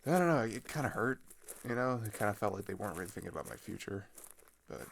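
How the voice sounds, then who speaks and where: A man's voice: fairly low pitch, lively intonation, quick pace, creaky, a little hiss or hum. A man playing an earnest, disillusioned teenage traveler in an ASMR roleplay, talking hesitantly and conversationally, often trailing off. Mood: hurt, resigned. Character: chatty, earnest, disillusioned male teenager on a journey. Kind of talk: ASMR roleplay, naturalistic improvised-sounding monologue.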